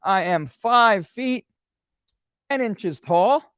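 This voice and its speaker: A man in his forties leads a screen-share fitness lesson with a fairly high voice that swings widely in pitch. He keeps things animated and throws in joking asides. The sound is close and dry, with no room around it. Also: extremely slow, clean tone, dry recording. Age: 40s. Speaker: fitness coach.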